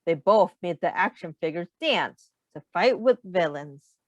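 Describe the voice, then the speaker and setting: A woman reading aloud in an instructive, deliberate way with expressive emphasis. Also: lowish voice, no room sound, lo-fi sound. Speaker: teacher reading a picture book aloud. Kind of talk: read-aloud narration.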